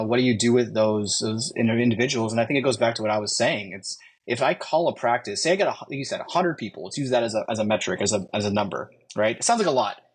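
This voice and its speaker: Man, 50s, middle pitch, measured conversational guest who reasons aloud. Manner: thoughtful, restarts phrases, walks through examples. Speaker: guest, medical spa marketing. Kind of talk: casual conversation.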